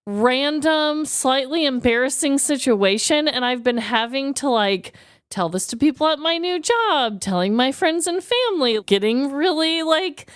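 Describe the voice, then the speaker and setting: A woman podcast co-host telling stories casually, expressive and candid, swearing freely. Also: sits high, a little slow, no room sound, clean studio sound. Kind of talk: casual conversational storytelling.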